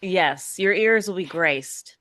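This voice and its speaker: A woman co-hosting a podcast in casual chat, enthusiastic and playful, quick to cheer her partner on. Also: fairly low pitch, fairly bright tone. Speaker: podcast co-host.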